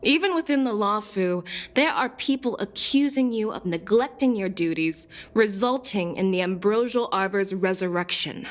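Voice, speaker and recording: A woman's voice: medium pitch, moderate pace, few pauses, natural voice. A woman voicing a confident, candid female general in a video game, assured, formal and articulate. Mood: wry approval. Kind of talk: scripted video game dialogue. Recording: game music behind the voice.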